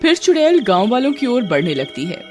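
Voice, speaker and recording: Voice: medium pitch, sing-song, fairly quick. A narrator telling a scripted horror story in the third person. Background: music.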